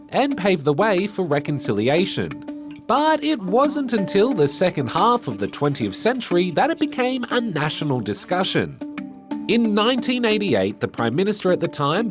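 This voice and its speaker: Lively Australian man narrating news. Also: high-pitched. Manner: lively, conversational with asides. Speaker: news reporter. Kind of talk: scripted news explainer narration. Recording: voice-over with music underneath.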